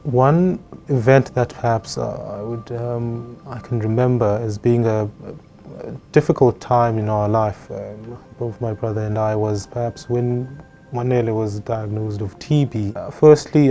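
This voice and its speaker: Gentle young man, mellow voice. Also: medium pitch, fairly even intonation, measured pace, faint music behind. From South Africa. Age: young adult. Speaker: medical student. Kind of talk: personal narrative interview.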